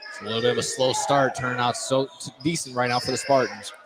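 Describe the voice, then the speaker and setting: A man's voice: medium pitch, fairly even intonation, quick pace. A man giving live color commentary on a basketball game from courtside in a loose, conversational way. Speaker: radio basketball color analyst. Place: courtside in a school gym. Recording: noisy live gym broadcast.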